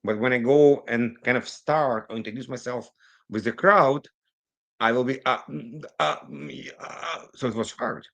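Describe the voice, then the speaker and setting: A man who grew up in Israel, speaking English as a non-native speaker, telling his story as a guest on a remotely recorded interview with animated, digressive delivery. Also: mid-range voice. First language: not English. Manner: animated, rushes through some phrases, digressive. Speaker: guest, cybersecurity consultant. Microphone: remote recording, virtual call.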